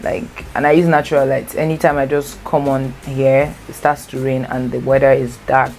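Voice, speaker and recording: A woman's voice: a bass voice, flat delivery, moderate pace, creaky, a little mellow, faint music behind. A young Nigerian woman with an accent, Igbo as her first language, chatting casually and rambling through a storytime tag and correcting herself as she goes. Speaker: vlogger. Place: home, natural light.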